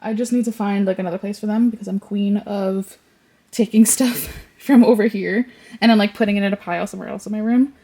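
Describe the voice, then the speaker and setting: A woman's voice: medium pitch, fairly even intonation, a little fast, mellow. Sniffly young woman rambling on about stuff in her room, lots of likes. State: congested, sniffly. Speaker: lifestyle vlogger. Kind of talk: casual vlog chat. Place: bedroom at home.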